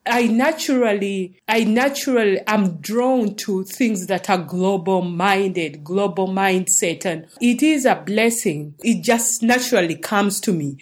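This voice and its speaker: A woman's voice: medium pitch, unhurried, hardly any pauses. A woman from Uganda, hosting a faith-based teaching talk in an earnest, encouraging way. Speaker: show host.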